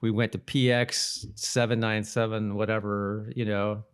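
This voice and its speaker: A man's voice: lowish voice, little pitch movement, measured pace, clean tone. A man co-hosting a podcast in casual chat, speaking loosely and hesitantly, often trailing off. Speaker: podcast co-host. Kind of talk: casual chat about a TV episode.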